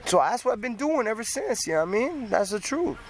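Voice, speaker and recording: Higher-voiced Toronto man talking street slang. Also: sing-song, fast, crowd noise. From Toronto, Canada. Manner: emphatic, repetitive, peppered with slang. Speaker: rapper being interviewed. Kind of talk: casual street-slang interview talk. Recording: noisy outdoor street with traffic.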